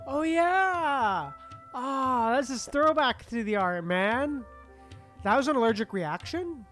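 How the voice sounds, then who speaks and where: A woman's voice: high voice, animated, slow pace, fairly bright tone. A woman live-streaming a game, reacting and chatting casually with interjections. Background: game music.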